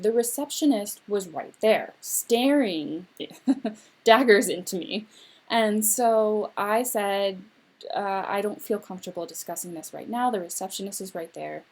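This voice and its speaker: Young woman, mother, telling a personal story as a spoken interpretation; measured, phrase-by-phrase pacing. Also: mid-range voice.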